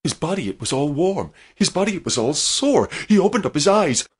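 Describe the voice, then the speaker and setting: Lively Irish storyteller man. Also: fairly high pitch, slightly rough. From Ireland. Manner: expressive, dramatic pauses. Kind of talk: storytelling and interview chat.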